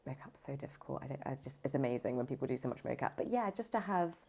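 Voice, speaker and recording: Low-voiced woman with a creaky edge. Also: expressive, fast talker, warm tone. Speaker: voice actor, audiobook narrator, podcast guest. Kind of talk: casual interview conversation.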